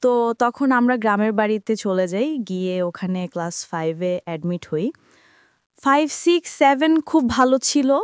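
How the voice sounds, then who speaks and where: A woman's voice: on the high side, expressive, unhurried, smooth, dry recording, clean studio sound. A woman of twenty-three with a Bangladeshi Bengali accent, telling her personal life story as an interview guest.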